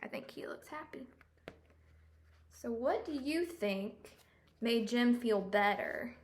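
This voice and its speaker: Female children's storyteller, fairly high voice, unhurried expressive read-aloud, explanatory asides to kids. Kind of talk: children's picture-book read-aloud with questions to listeners.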